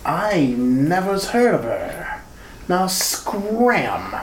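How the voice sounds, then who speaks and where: A woman voicing a hissing male gangster gambler in an amateur retro radio drama, who talks slow, rough and ungrammatical. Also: on the low side, very animated, exaggerated voice. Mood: menacing. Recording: echoey room, off mic, faint noise.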